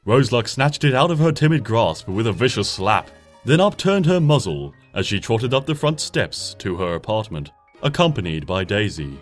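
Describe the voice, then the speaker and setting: A man narrating a story aloud, expressive, rising brightly and then dropping to a hush. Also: lowish voice, moderate pace. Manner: expressive, swings between bright and hushed. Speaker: fanfiction reader. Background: soft guitar music.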